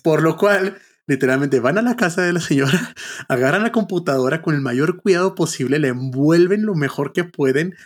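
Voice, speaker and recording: A man co-hosting a podcast, telling anecdotes in a casual conversational style. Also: a little high. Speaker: podcast co-host.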